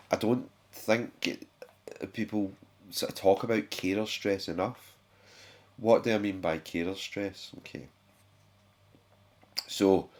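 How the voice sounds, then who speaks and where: A British man with a sore throat, his voice sitting very low. He talks casually and haltingly to his viewers, with frequent fillers and self-corrections. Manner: chatty, halting, many fillers and restarts. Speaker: YouTube channel host. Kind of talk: casual talk to camera.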